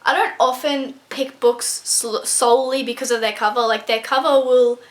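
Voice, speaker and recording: A woman's voice: on the high side, fairly even intonation, normal speed. A young woman book vlogger chatting casually to camera, with lots of ums and likes.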